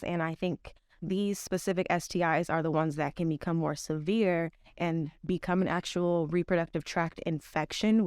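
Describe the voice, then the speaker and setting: A woman's voice: on the low side, clean tone, no echo, clean studio sound. A young woman, a health educator, speaking on a podcast in a thoughtful way, with many fillers. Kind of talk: informational podcast talk.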